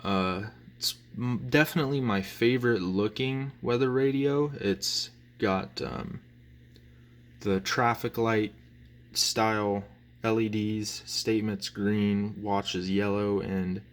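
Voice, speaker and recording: Lowish male voice, slow pace, casual hobby review, rambling, hesitant, phone recording. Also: fairly even intonation. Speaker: hobbyist collector presenting items. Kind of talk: casual show-and-tell review. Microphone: phone, handheld or propped nearby.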